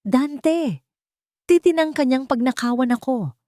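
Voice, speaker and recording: A woman's voice: high voice, expressive, measured pace, mellow, dry recording, clean studio sound, voice pushed up. A woman voicing a scheming, tearful fiancée in an audio love drama, melodramatic and accusing.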